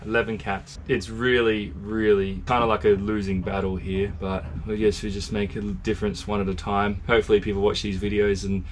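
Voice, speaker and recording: A man's voice: lowish voice, level tone, brisk, few pauses, slight background noise. A young man talking casually to a handheld camera on his sailboat and in a boatyard, explaining repairs as he goes. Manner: laid-back, chatty, explains repairs as he goes. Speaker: sailing vlogger. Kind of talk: casual vlog chat. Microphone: handheld action camera.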